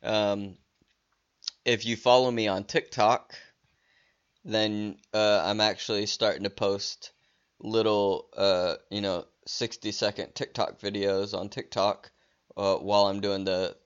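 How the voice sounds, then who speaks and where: Halting, slow-talking man losing his voice. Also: lowish voice, level tone, smooth, dull audio. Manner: rambling, halting, with many restarts and fillers. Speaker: podcast host. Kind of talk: casual solo podcast talk.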